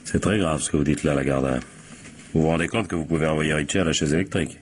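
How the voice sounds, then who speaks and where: An actor playing a sardonic, relentless police captain interrogating people in a French radio crime play, pressing and authoritative. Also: male voice, rumbling low, fast talker, slight background noise. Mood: brisk questioning.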